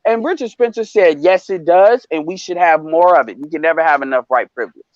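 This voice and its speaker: A man's voice: a little high, fairly quick, dry recording, lo-fi sound. A man in a live stream panel discussion, talking emphatically with restarts and swearing. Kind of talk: heated casual discussion.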